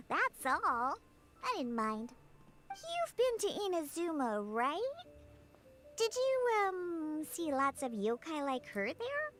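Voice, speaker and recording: A woman's voice: very high-pitched, very animated, slow pace, over music, heavily acted. A woman voicing a young, feisty, curious cat girl in an anime game, perky and a little bossy.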